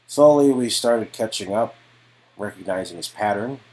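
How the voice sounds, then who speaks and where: Weary male detective, acted by a man, deep raspy voice, guarded and halting, story-game dialogue. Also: mellow, close to an everyday voice, poor audio. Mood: grave, intense. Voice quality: low and raspy.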